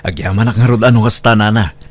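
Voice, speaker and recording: Low male voice, Ilocano accent, man acting humble young boyfriend, deferential, radio drama. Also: fairly even intonation, moderate pace, natural voice. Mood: apologetic.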